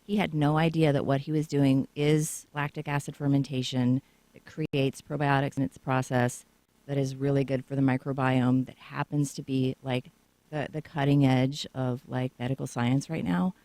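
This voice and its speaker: A woman's voice: very deep voice, level tone, moderate pace, dark tone, no echo, poor audio. Talkative woman guest in dry studio. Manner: talkative, lengthy storytelling answers. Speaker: co-founder guest. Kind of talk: radio interview answers. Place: radio studio.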